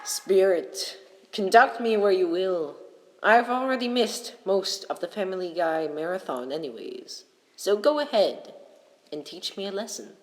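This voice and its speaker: A woman's voice: medium pitch, animated, unhurried, a little hiss or hum, lightly acted. A woman playing an anxious, reluctant miser in a storybook drama, with a dramatic, pleading manner. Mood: grudging. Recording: long hall reverb.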